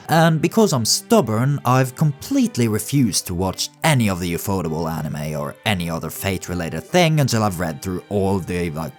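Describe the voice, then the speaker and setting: A man narrating casual scripted video commentary in a wry, sardonic, sweary way. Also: deep voice. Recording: music behind the voice. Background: background music.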